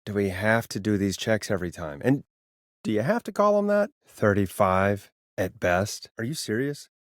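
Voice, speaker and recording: A man's voice: lowish voice, big pitch swings, normal speed, no room sound, studio quality. A man voicing a weary, sarcastic late-night radio call-in host in a video game, with a wry, conversational, easily exasperated manner. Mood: puzzled, disbelieving. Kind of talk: video game voice acting, radio patter and banter.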